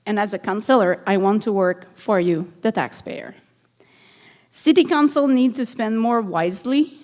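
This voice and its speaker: French-Canadian woman giving a campaign speech. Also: a little high. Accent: Quebec French. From Montreal, Quebec. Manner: earnest, reads a prepared statement. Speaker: city council candidate. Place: council chamber debate.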